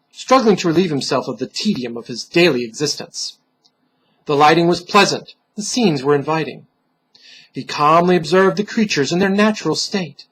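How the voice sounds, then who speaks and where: A man giving a dramatic, solemn reading of a dark fantasy story, theatrical and deliberate, with pauses for effect and a husky voice. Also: a little high, low-quality recording. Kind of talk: dramatic scripted narration.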